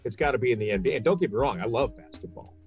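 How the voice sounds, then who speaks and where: A man's voice: mid-range voice, expressive, very fast, faint music behind. A middle-aged American man, a sports broadcaster, chatting casually and explaining things at length as a podcast guest.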